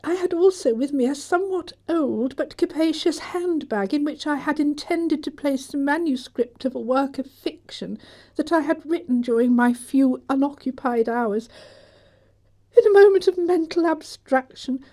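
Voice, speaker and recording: A woman's voice: high-pitched, moderate pace, dark tone, lightly acted. A woman playing a prim, earnest middle-aged governess in a stage comedy audiobook, with an English accent and a formal, theatrical manner. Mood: ashamed, confessing.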